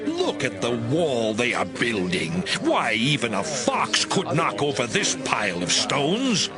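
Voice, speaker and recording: A man playing a scornful enemy who mocks in a sneering, drawn-out way. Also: mid-range voice, wildly swooping pitch, over music. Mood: mocking. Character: mocking, scornful male enemy of the builders.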